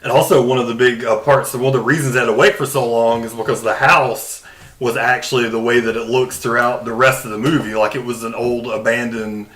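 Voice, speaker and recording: A man's voice: mid-range voice, little pitch movement, fairly quick, fairly bright tone. A man co-hosting a podcast, explaining things in a casual, conversational way. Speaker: podcast co-host.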